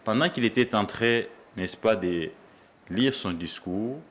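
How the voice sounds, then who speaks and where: A man's voice: fairly low pitch, unhurried. A man with a Congolese accent, a journalist arguing emphatically as a debate guest, often repeating his phrases for weight. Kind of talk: animated political debate.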